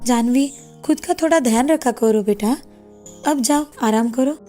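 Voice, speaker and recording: A woman's voice: on the high side, a little slow, mellow, close to an everyday voice. A woman voicing a caring, concerned village mother in a cartoon moral story. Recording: background music under the voice.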